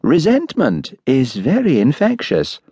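A man's voice: a little high, huge pitch swings, a little slow, warm tone, slightly rough, dry recording, voice pushed up, full character voice. A man voicing a friendly, frank, slightly vague doctor's wife in an audiobook. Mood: comfortable, frank. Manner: vague, cheerful, chatty. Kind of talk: audiobook character voice.

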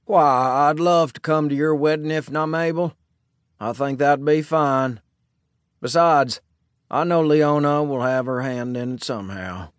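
A man voicing a kindly, easygoing older Old West sheriff in a storybook, with a folksy, hesitant, calming manner. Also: a little high, a little slow, clean tone, no room sound, clean studio sound, natural voice. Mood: warm.

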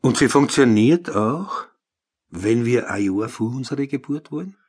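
An actor playing a grumbling, sceptical ex-detective with a Viennese accent, dry and laconic, in a comic radio play. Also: male voice, medium pitch, animated, moderate pace, darkish tone, husky.

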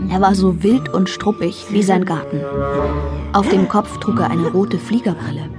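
A woman with a medium-pitched voice tells a children's story as the narrator. She reads scripted narration, with music clearly playing behind her. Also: moderate pace.

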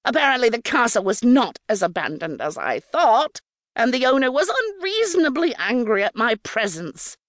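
A woman's voice: on the high side, big pitch swings, moderate pace, no room sound, clean studio sound, voice pushed up. A woman voicing a wily, coarse, mean-tempered old hag in a storybook, brash and scornful. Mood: irritated. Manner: brash, scornful, talks with mouth full.

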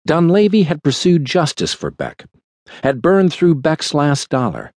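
A man reading a thriller audiobook in a measured, dramatic storytelling manner. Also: a little high, wildly swooping pitch, darkish tone, no room sound. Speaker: audiobook narrator. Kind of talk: scripted thriller audiobook narration. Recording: dry studio recording.

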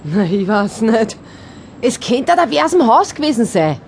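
An actress with a Viennese accent playing a blunt, suspicious, snide apartment-house gossip in a radio play. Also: female voice, on the high side, sing-song, normal speed, a little hiss or hum.

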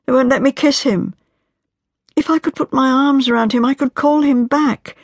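A woman reading an audiobook, voicing a grief-stricken young woman in her twenties with a pleading, tormented voice that strains high. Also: moderate pace, no echo. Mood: anguished. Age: 20s. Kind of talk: audiobook character voice.

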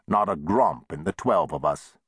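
Man acting a very deep, wry old saloon owner. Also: expressive, normal speed, fairly bright tone, slightly rough, no echo, studio quality, full character voice.

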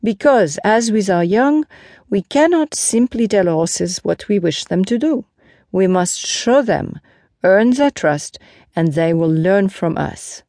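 A woman voicing a calm, gently reproving male horse tamer in a storybook, measured, earnest and instructive. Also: mid-range voice, no echo, studio quality. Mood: patient, instructive.

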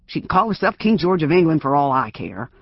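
Guy reading doing a reedy nervous sheriff, pushy, loud and high, dry dull sound. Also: measured pace, dry recording. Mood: angry. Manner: gruff, pushy and demanding. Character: nervous, blustering middle-aged male sheriff.

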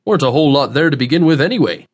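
A man's voice: a little high, expressive, racing. A man narrating an audiobook, voicing a scruffy, blunt old hermit who talks in a matter-of-fact rustic dialect.

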